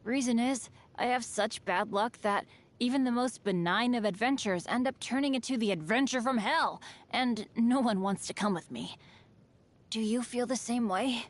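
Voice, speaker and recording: A woman voicing a cheerful, unlucky teenage boy adventurer for a video game, earnest, casual and humble. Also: fairly high pitch. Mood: wistful, sincere. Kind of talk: video game character voice lines.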